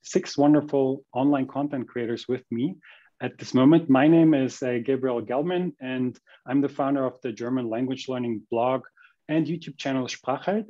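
A young man hosts an online panel over a video call with brief, upbeat prompts. His tone is mellow and warm. The call audio sounds dull and lo-fi. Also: medium pitch. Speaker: panel host.

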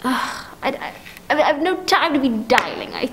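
A woman acting a nervous, demanding invalid wife in a vintage radio drama. Her voice sits very high and stammers through pleas and repeats. The recording has some background noise. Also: very animated, normal speed, a bit bright, heavily acted. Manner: stammering, pleading, repeats herself. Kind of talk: 1940s radio play acting on stage.

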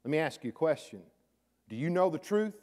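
A middle-aged man preaching a sermon from a church stage, chatty and then suddenly loud, often repeating his words. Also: fairly high pitch, sing-song, a little fast. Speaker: pastor. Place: large church sanctuary with stage, indoors.

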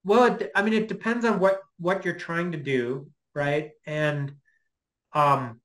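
A man in his fifties explaining informally as a lecturer on a video call from a small home office, halting and thinking aloud. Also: fairly high pitch, steady tone, fairly bright tone. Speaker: guest lecturer. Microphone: computer mic on a video call.

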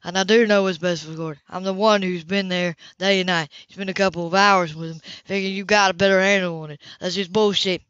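A woman's voice: fairly low pitch, fairly even intonation, fairly quick, few pauses, a bit bright, clear, resonant tone, no echo, lightly acted. A woman voicing a stubborn, cocky, hot-headed man in a romance audiobook, blunt and insistent. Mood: heated, argumentative. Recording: dull, lo-fi home recording.

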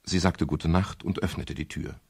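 An actor playing a wry, world-weary private detective in a radio crime drama, with a gravelly voice and dry, unhurried manner. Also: male voice, very deep voice, fairly even intonation, slight background noise. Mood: reflective narration. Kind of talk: 1970s German radio crime drama acting and narration.

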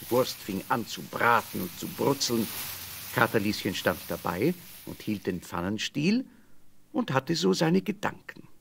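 A male storyteller narrates a fairy tale in a mid-pitched voice with very lively intonation. His delivery is scripted and expressive. There is light noise in the recording. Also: a little slow, vocal fry.

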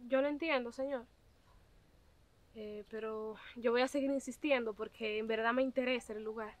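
A woman's voice: on the high side, steady tone, moderate pace, lightly acted. A young woman acting as a humble but determined visitor who politely keeps insisting, outdoors by a farm gate. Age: young adult. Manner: polite, persistent. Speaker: actress. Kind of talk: web drama acting. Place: outdoors on a country lane by a gate.

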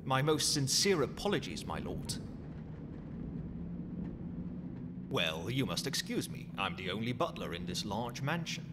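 A man's voice: medium pitch, big pitch swings, normal speed, over music, natural voice. A man playing a courteous, cheeky undead skeleton butler in an ASMR roleplay, with a formal, theatrical manner. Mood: eager, deferential. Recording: long hall reverb, noisy ambience.

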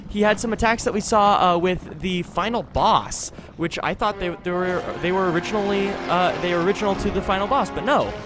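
A man's voice: sits high, a little fast, few pauses. A man giving casual gameplay commentary into a close desktop microphone, rambling as he talks himself through the action. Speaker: let's play host. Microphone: close desktop USB microphone. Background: game music.

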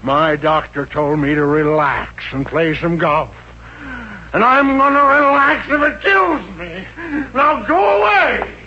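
A man's voice: sits high, expressive, takes it slowly. An American actor playing a short-tempered, blustering businessman obsessed with golf in a 1950s radio comedy. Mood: irritated, fussy. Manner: blustering, stammering when flustered. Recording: muffled, noisy old radio recording.

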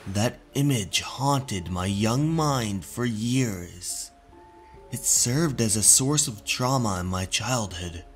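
A man narrating a scripted horror story as host, deliberate, with dramatic pauses. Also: fairly low pitch, animated, faint music behind.

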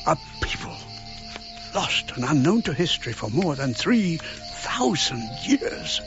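A male actor playing a solemn, awed archaeologist looking back on his discovery in first-person radio drama narration, with measured, portentous phrasing and pauses. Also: on the high side, big pitch swings, exaggerated voice. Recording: dull recording with music behind.

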